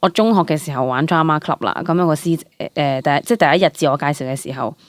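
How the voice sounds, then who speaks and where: Young Hong Kong woman, animated and dry-miked. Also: deep voice, fast talker, dry recording. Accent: Hong Kong Cantonese. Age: young adult. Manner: animated, code-mixes English words into speech. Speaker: guest, stage actress. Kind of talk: casual interview chat.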